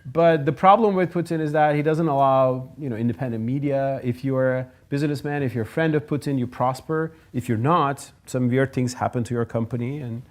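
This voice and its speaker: Turkish-accented man giving a forum talk. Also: mid-range voice. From Turkey. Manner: thoughtful, emphatic, with pauses and restarts. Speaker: guest speaker. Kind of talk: public forum talk and answers. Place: lecture hall forum.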